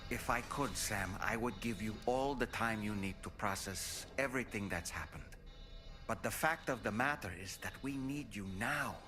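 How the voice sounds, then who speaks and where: An actor playing an earnest, supportive companion in a video game, speaking with sincere emphasis. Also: male voice, on the low side, sharp tone. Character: earnest, supportive male companion urging on a friend. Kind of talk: video game cutscene acting.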